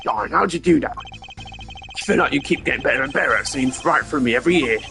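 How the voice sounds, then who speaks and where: A man voicing an excitable, loyal detective in a folksy, exclamatory way for a video game, with music behind. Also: medium pitch, fairly quick, creaky. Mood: puzzled. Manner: exclamatory, folksy, ends lines with "sir" or "pal". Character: excitable, blustering detective, loyal grown man saying "pal".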